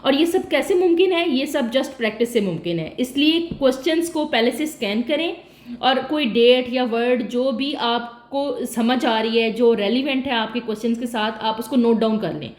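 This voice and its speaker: A woman's voice: on the high side, moderate pace. A woman giving a casual spoken tutorial on test preparation, explaining by asking and answering her own questions. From Pakistan. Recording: echoey room.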